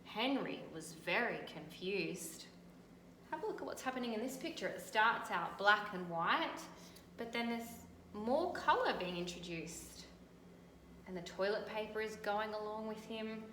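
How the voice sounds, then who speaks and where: An Australian woman teacher reading a picture book aloud and gently pointing out details in the pictures. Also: medium pitch, lively intonation, unhurried. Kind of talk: storybook read-aloud with commentary on pictures. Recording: echoey room, faint background noise.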